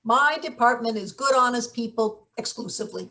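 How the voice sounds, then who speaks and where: A woman's voice: a little high, bright, forceful tone. A woman playing a hot-tempered, scheming maintenance worker in a play reading, sardonic and placating by turns. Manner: sardonic, placating, quick to snipe. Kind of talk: live table read of satirical stage play.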